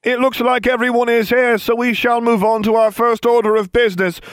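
A man's voice: very high voice, flat delivery, a little fast, no room sound, voice pushed up. A man doing a pompous, theatrical young wizard. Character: young male wizard leader, theatrical, scheming.

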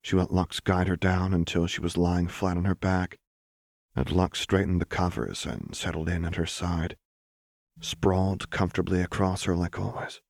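A man narrating scripted fiction as an audiobook reader, in a soft, grave and emotive delivery. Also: sits low, steady tone, normal speed, gravelly, no room sound, clean studio sound.

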